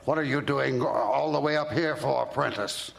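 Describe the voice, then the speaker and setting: A man's voice: medium pitch, moderate pace, vocal fry. A man playing a blunt, challenging acquaintance in an old-time radio drama.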